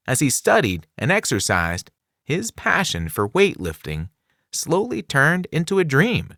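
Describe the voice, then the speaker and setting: A man narrating a scripted children's non-fiction book in an expressive, clearly enunciated storytelling delivery. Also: on the high side, no room sound, studio quality. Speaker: audiobook narrator.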